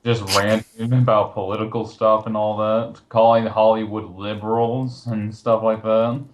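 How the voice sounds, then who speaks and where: A man's voice: lowish voice, flat delivery, a little slow, a little hiss or hum, low-quality recording. A man chatting casually in an online voice call, explaining things in a slightly hesitant, rambling way. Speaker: participant in a recorded online voice chat. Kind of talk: casual chat, recounting a list. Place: recorded Skype call.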